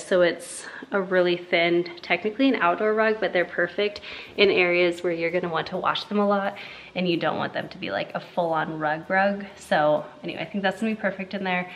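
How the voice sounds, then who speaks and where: A woman's voice: lowish voice, fairly even intonation, normal speed, a little hiss or hum, rough recording. A young woman chatting casually to her handheld camera in her kitchen, full of little fillers as she talks. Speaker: family vlogger. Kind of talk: casual vlog chat. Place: home kitchen, indoors. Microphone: handheld camera at arm's length.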